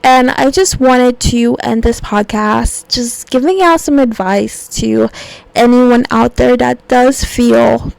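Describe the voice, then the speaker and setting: A young woman with a higher voice and a gravelly, fry-laden edge. She talks earnestly and casually, full of "like" and "kinda", into a close, dry mic with no room sound. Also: slow pace, darkish tone, no room sound, rough recording. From United States. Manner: casual, filler-heavy, earnest and reflective. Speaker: podcast host. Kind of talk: solo podcast monologue.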